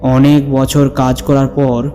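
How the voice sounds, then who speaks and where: Male horror-story narrator, unhurried and dramatic, mid pitch, heavy hall reverb. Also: completely flat delivery, dark tone. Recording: long reverb over the voice. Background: background music.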